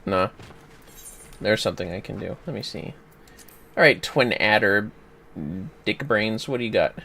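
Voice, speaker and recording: A man chatting casually while gaming on a livestream, playful, exclamatory and quick to swear. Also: on the low side, creaky, pushed and bright, slight background noise. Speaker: gamer on a livestream. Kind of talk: casual gameplay chat.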